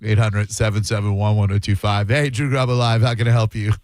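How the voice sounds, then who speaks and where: A man's voice: on the low side, little pitch movement, brisk, a little mellow, dry recording, clean studio sound. A middle-aged man hosting a call-in radio show, blunt and sarcastic, rambling with restarts. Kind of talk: casual call-in radio banter. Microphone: studio microphone.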